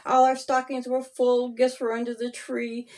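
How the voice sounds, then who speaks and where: A woman reading her own rhyming children's story aloud in a deliberate, singsong storybook manner. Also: on the high side, completely flat delivery, a little mellow.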